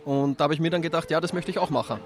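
A man's voice: a little high, steady tone, racing, some chatter behind. A man, a teacher answering interview questions outdoors at a street festival in a friendly, candid way.

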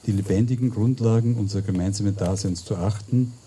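A man reading the close of a declaration aloud, gravely. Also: fairly low pitch, fairly even intonation, a little fast, dark tone, slight background noise.

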